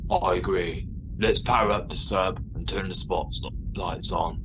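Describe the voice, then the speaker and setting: A man playing a calm, matter-of-fact submarine pilot in an amateur sci-fi radio play. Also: low-pitched, a little slow. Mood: calm, firm. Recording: noisy amateur recording.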